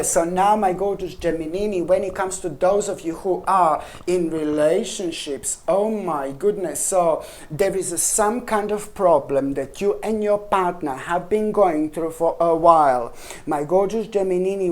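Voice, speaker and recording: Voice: medium pitch, darkish tone. A tarot reader giving a casual reading to camera, chatty and rambling, often trailing off and restarting sentences and calling the viewer by a pet name.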